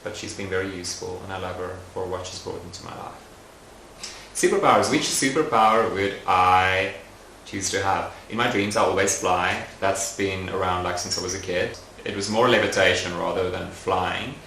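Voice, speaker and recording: Low gravelly reflective man, roomy echo. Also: normal speed, slight background noise. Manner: thoughtful, soft-spoken, frequent fillers. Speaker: documentary interviewee. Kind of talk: reflective personal interview. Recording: roomy echoey recording.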